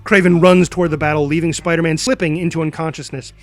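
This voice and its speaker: Male narrator for scripted action: high voice, dramatic stage-direction reading, clear music bed beneath. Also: little pitch movement, fast.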